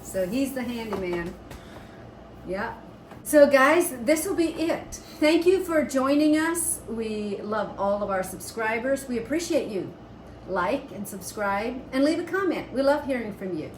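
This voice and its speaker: A woman's voice: a little high, animated, measured pace. A woman chatting casually as co-host of a homestead vlog. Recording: roomy echo, background noise.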